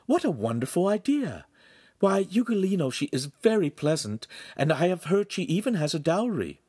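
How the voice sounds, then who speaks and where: A man voicing a kind, composed young woman in a story, speaking gently and with measured words. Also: medium pitch, big pitch swings, no echo, studio quality, voice pushed up. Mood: bright. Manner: gentle, measured, lightly scolding.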